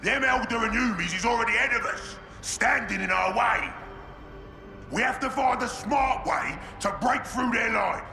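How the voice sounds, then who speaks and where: An actor playing a brutish, cunning ork warboss with a gravelly voice and coarse slang. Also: male voice, very high voice, normal speed, bright, forceful tone, shouting, exaggerated voice. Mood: boisterous. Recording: echoey game cutscene with music.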